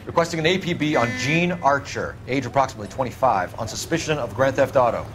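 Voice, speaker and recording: Male actor as a stern young game detective, formal, authoritative, lively pitch swings, music underneath. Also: fast talker, natural voice. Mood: businesslike. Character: stern, clipped young police detective interrogating suspects. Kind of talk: dramatic video game acting, 1940s crime drama. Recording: music behind the voice.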